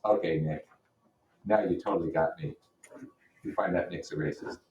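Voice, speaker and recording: A man's voice: deep voice, normal speed, dull audio, slight background noise. A man teaching, reading a novel aloud in an informal way with blunt asides. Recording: roomy echo, distant microphone.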